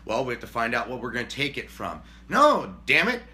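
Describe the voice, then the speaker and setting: Booming bright-voiced man on a couch. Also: wildly swooping pitch, a little fast. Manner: rambling, self-deprecating, booming when worked up. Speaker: co-host, husband. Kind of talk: casual chat. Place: living room couch, indoors.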